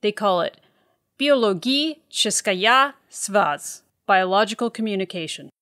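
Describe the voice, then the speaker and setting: A woman's voice: mid-range voice, lively intonation, a little slow, pushed and bright, no echo, clean studio sound. A woman acting in an audio drama as a serious, keen government investigator. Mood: serious, briefing.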